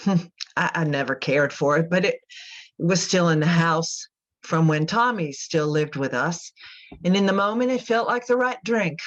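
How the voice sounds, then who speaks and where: A woman playing a devout, unsettled imprisoned mother in a radio drama, giving a halting, wistful confessional monologue. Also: fairly low pitch, muffled recording, lightly acted. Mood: brooding. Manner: halting, wistful, folksy, brittle.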